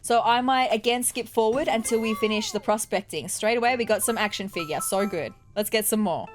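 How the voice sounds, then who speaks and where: A woman's voice: a little high, a little fast, soft background music. A woman with an Australian accent, sounding nasal from allergies, chatting casually as she plays and builds in a video game. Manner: chatty, upbeat, thinking aloud while building. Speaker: let's play gaming host. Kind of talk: casual gameplay commentary.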